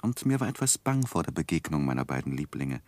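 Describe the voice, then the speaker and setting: An actor playing an earnest, tender gentleman who narrates his life in a gentle, reflective, expressive way in a 1960s German radio play. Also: a bass voice, fast, dry recording. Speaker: first-person narrator and lead.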